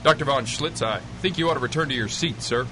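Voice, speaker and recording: Man acting an unruffled pilot over loud engine drone. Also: mid-range voice, quick pace, background noise, lightly acted. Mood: smooth, reassuring. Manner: unruffled, wry, courteous. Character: smooth-talking, daring male pilot adventurer, coolly ruthless. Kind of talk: 1930s-set radio adventure acting.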